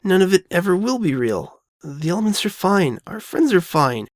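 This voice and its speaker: A woman voicing a loyal, reassuring young boy dragon who talks plainly and gently insists, in an audio drama. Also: lowish voice, big pitch swings, normal speed, no room sound, studio quality. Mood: soothing. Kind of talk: all-dialogue fan audio drama.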